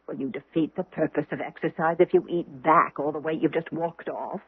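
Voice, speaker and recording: A prim, insistent housekeeper in a vintage radio play. Her voice is husky and earnest, firm when she lays down rules, and carried on a thin, narrow old broadcast. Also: sits low, fairly even intonation, a little fast. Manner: prim, insistent, searching for words. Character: earnest, firm housekeeper, a woman with a nagging hunch. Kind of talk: old-time radio drama acting.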